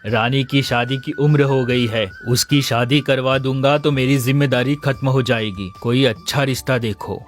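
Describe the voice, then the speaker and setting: A man's voice: medium pitch, fairly even intonation, moderate pace, few pauses, lightly acted. A man voicing an anxious, ailing old father. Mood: worried. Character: ailing older father, worried and stern. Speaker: voice actor. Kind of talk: cartoon story dubbing.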